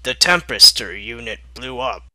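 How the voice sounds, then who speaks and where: A man's voice: medium pitch, animated, unhurried, very noisy. A man reading a fan-written story aloud as a narrator, with a mocking, sarcastic edge. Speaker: fanfiction reader.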